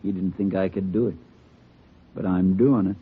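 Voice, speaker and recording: Cocky, menacing American hoodlum. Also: male voice, deep voice, fairly even intonation, moderate pace, husky, full character voice. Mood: brooding. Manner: slangy, taunting tough talk. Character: cocky, menacing male hoodlum holding police at bay. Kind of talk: 1950s radio crime drama acting. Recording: tinny, faintly noisy vintage radio recording.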